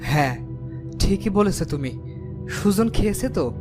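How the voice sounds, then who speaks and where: A woman plays a protective woodcutter father in a cartoon folk tale. The voice sits at a medium pitch for a woman, firm and reassuring, with music clearly behind it. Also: lively intonation, unhurried, natural voice.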